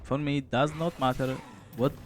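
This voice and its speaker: A man's voice: medium pitch, steady tone, moderate pace. A young man from Poland, a streamer giving casual, laid-back gameplay commentary, chatting and swearing now and then.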